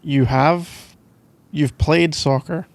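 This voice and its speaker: A man's voice: a little high, steady tone, drawn-out words, no echo. A young Scottish man doing casual boyfriend roleplay ASMR in a playful, teasing, rambling way, close to the microphone. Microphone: close microphone, dry sound.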